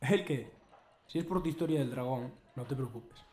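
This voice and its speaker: A man's voice: medium pitch, moderate pace, mellow, roomy sound, close to an everyday voice. A man playing a kindly, reassuring schoolteacher in an audio drama. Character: kindly, reassuring male secondary-school teacher.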